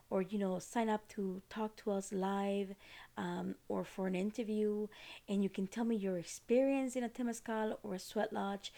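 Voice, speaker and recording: A woman's voice: mid-range voice, gravelly, mellow. A woman from Costa Rica with a Costa Rican Spanish accent, hosting a podcast and explaining things in a rambling way with many fillers and restarts. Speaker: podcast host.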